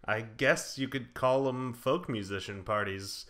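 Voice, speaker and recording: A young man with a bright, sharp-edged voice at a middle pitch. He chats casually as a podcast co-host, rambling and joking as he goes. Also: a little slow. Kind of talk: casual chat.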